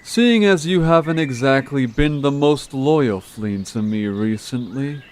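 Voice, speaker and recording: A man's voice: mid-range voice, measured pace, lightly acted. A man voicing a manipulative, vengeful monster gang leader in an audio drama, with a sarcastic, condescending drawl. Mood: menacing, condescending. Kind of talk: scripted audio drama acting. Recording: steady background noise with chirping birds. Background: birdsong, forest ambience.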